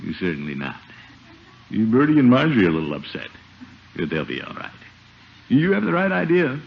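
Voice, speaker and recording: Blustering, swooping pompous radio uncle. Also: male voice, medium pitch, wildly swooping pitch, a little fast, gravelly. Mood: placating. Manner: blustering, pompous, stammering when flustered. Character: pompous, blustering middle-aged uncle, easily flustered. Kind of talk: 1950s radio sitcom acting. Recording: muffled, noisy 1950 radio recording.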